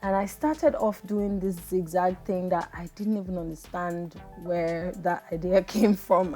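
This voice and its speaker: A woman's voice: fairly low pitch, darkish tone. A woman in her thirties with a Nigerian accent, chatting to viewers in a reflective, casual voice-over while she makes soap. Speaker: soap maker and channel host.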